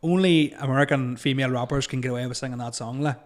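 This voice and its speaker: A man's voice: medium pitch, steady tone, fast talker. A man hosting a casual banter podcast, rambling and crude, laughing often. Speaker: podcast host.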